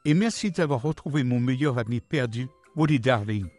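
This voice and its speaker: Big jovial cartoon dog male actor, showy and friendly, lively swings in pitch, music behind. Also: normal speed, no room sound, lightly acted. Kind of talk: French fan comic dub acting.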